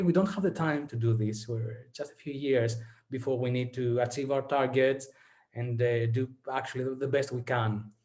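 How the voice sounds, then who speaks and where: A man from Greece hosting a webinar over a video call, speaking in a measured way with frequent "uh" pauses. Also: mid-range voice, warm tone. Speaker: host. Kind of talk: webinar moderating.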